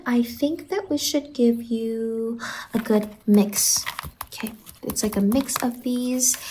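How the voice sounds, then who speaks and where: A soft-spoken woman doing an ASMR roleplay as a salon worker, soothing and reassuring, often slipping into a whisper. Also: mid-range voice, slight background noise, low-quality recording.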